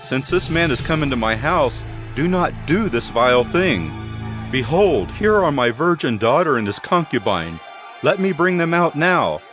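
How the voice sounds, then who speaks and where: An actor playing a hospitable old householder, earnest and deliberate, in a dramatized scripture reading. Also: male voice, a little high, very animated, a bit bright. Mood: pleading, desperate. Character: hospitable old man, pleading and protective householder. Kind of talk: dramatized audio Bible acting. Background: background music.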